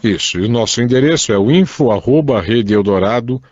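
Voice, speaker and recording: A man's voice: mid-range voice, lively intonation, brisk, a little mellow, no echo. A man with a Brazilian accent co-hosting a radio show in casual conversation, often repeating and circling back on his phrases. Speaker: radio co-host. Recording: dull lo-fi radio recording.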